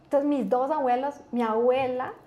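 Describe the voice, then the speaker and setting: A woman with an Ecuadorian accent telling family stories as an interview guest, earnest and animated, often restarting her sentences. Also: sits high, normal speed. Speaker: guest, business executive. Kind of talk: casual interview storytelling.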